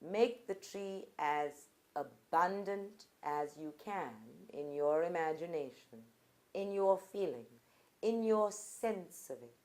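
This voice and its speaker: A woman guides a group meditation in a fairly low voice. Her delivery is very slow and deliberate, with short phrases set apart by pauses. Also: expressive, darkish tone. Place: live group session at a centre.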